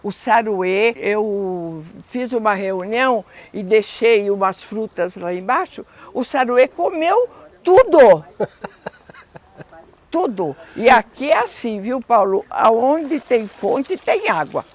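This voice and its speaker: A woman's voice: a little high, expressive, slow pace. A woman in her eighties showing off her garden in casual chat outdoors, chatty and often breaking off to restart. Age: 80s.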